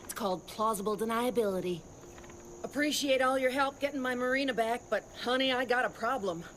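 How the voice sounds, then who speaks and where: A woman's voice: on the high side, brisk, fairly bright tone, slight background noise, lightly acted, low-quality recording. An actress playing a brassy, blunt middle-aged small-town businesswoman in a video game, salty and familiar. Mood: breezy, brassy. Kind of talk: video game cutscene dialogue.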